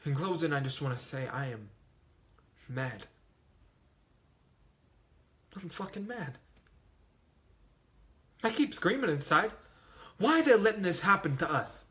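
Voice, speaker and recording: A man's voice: on the high side, sing-song, a little slow, long pauses, bright, forceful tone, exaggerated voice. An actor playing a grieving young man giving a eulogy for a friend in a film drama, raw and bitter. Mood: furious. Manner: raw, bitter, rising to anger.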